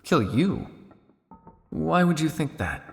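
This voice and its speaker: Man acting a weary, gravelly vampire scientist. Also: fairly high pitch, sing-song, takes it slowly, big-room sound, soft background music, heavily acted. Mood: earnest, reassuring. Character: weary, guilt-ridden centuries-old male vampire scientist on the run. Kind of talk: ASMR roleplay acting, addressing the listener.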